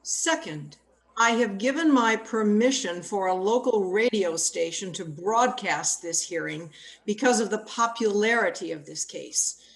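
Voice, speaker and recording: A woman acting as a stern courtroom judge with a medium-pitched voice. She speaks in formal, deliberate, authoritative sentences, as in a scripted radio drama. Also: expressive, gravelly, rough recording. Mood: formal, firm.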